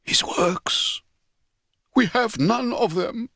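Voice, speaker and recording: A man's voice: high-pitched, extremely slow, gravelly, pushed and bright, no room sound, studio quality, pitched above the performer's own voice, exaggerated voice. A man voicing a courteous, gushing Icelandic science professor in an audiobook drama, with emphatic delivery. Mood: grave, regretful. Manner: effusive, emphatic.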